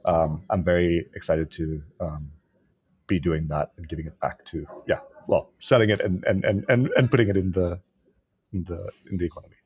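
Deep husky man, casual storytelling. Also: fairly even intonation, moderate pace, a little mellow, no echo. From Kenya. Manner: rambling, many restarts and fillers. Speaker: podcast guest, entrepreneur. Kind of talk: casual interview talk.

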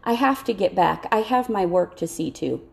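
A woman voicing a furtive, money-minded male farmer, hushed, deferential and pleading, in an audiobook. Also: medium pitch, lively intonation, normal speed, creaky. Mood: anxious.

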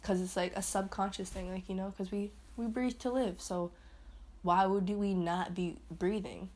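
A young woman hosting a casual solo podcast, chatty and rambling, laughing at herself and swinging into higher playful voices. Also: fairly even intonation, normal speed, a little mellow. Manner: swings into high put-on voices. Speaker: podcast host.

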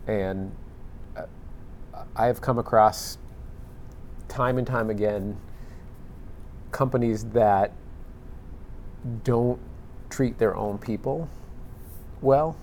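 A man's voice: medium pitch, takes it slowly, long pauses, a little mellow, slight background noise. A man hosting a panel discussion, asking long searching questions in a conversational way. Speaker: podcast host.

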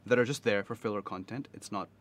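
A young man from Pakistan with a softened Pakistani English accent and an American lilt, talking casually and animatedly with many fillers. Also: fairly low pitch, fast, slight background noise. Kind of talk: casual podcast chat.

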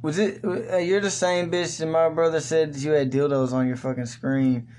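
A man co-hosting a casual podcast, joking, laughing and swearing a lot while high. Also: steady tone, moderate pace, a little mellow, slight background noise. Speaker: podcast co-host. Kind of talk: casual crude chat.

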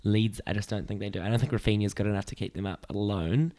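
A man's voice: lowish voice, fairly even intonation, quick pace, warm tone. A young man co-hosting a casual sports podcast, joking and laughing often as he chats. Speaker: podcast co-host.

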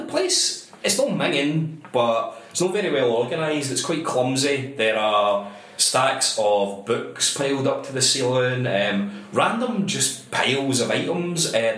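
A man's voice: medium pitch, a bit bright. A man narrating as game master, casual, with lots of ums. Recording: echoey room, faint noise.

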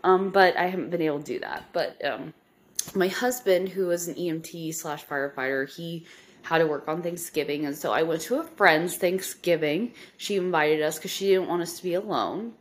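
Hoarse stuffy-nosed midwest mom type, kinda low voice, just rambling at her podcast folks. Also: normal speed. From Nebraska, US Midwest. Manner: rambling with many ums. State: hoarse, congested. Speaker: podcast host. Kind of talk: casual update monologue.

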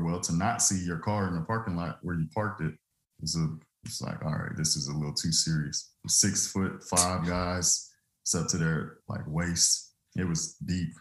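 A man in his thirties from Louisiana, guest on an interview, telling stories in a relaxed, loose way with easy laughter. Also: deep voice, echoey room, poor audio. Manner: easygoing, laughs often, loose filler-heavy telling. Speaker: guest, former football player turned trucking business owner.

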